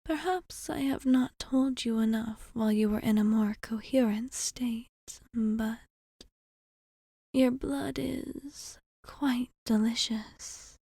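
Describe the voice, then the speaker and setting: A woman voicing a courteous, teasing vampire bartender in an ASMR roleplay, with a soft, breathy half-whisper and measured, formal phrasing. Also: on the high side, dry recording, studio quality, heavily acted. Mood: tender, grateful. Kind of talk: ASMR roleplay, intimate monologue.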